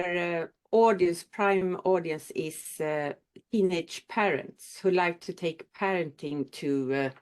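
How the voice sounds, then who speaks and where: A woman from Sweden, introducing her business in halting English with frequent pauses. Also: mid-range voice, darkish tone. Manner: halting non-native phrasing with many pauses. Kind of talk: self-introduction at an online networking meeting.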